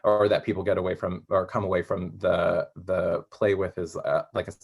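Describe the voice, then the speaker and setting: A young man with a deep, somewhat rough-edged voice gives a casual interview. He feels his way through answers haltingly, revising his phrasing as he goes. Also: flat delivery. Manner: halting, thinking aloud, self-correcting. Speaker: playwright being interviewed.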